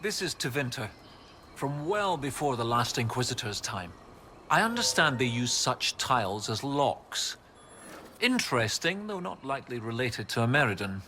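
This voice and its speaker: An actor playing an absent-minded, excitable male professor of history in a video game, halting and muttering as he thinks aloud. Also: mid-range voice. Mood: intrigued. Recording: reverberant game hall, noisy ambience.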